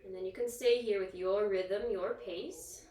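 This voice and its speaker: A woman yoga instructor leading a guided relaxation in a soothing, gentle, informal manner, leaving pauses between instructions. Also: mid-range voice, steady tone. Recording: echoey, roomy sound.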